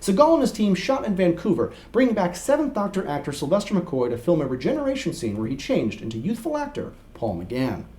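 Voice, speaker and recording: A man's voice: high voice, wildly swooping pitch, fairly quick, hardly any pauses, darkish tone. A young adult man, co-hosting a scripted explainer to camera. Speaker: co-host. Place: small indoor room set with whiteboard.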